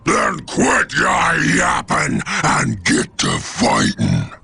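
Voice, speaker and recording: An actor playing a brutish, belligerent orc warlord in a video game, bellowing crude broken Cockney-style English in a guttural, gravelly roar. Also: male voice, a little high, wildly swooping pitch, drawn-out words, sharp tone, full character voice. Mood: taunting. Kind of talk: video game villain acting. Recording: game audio with music behind.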